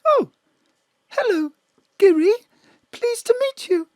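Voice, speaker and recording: A woman voicing an anxious, fragile fallen film star with a breathy, trembling voice in a radio drama. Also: very high-pitched, expressive, takes it slowly, darkish tone, no room sound, pitched above the performer's own voice, full character voice. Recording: dry home studio.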